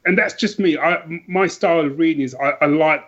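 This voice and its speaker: The sound is a little dull and lo-fi. A man with a fairly high voice chats as a podcast guest about books, enthusiastic and emphatic, looping back and restarting as he goes. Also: a little fast, a bit bright, a little hiss or hum. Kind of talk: casual book chat.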